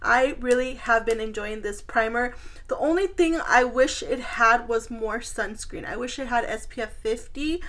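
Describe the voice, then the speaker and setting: A woman with a fairly high voice talks as a beauty vlogger. She is chatty and informal, speaking straight to her viewers in a casual product review. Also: normal speed, a bit bright.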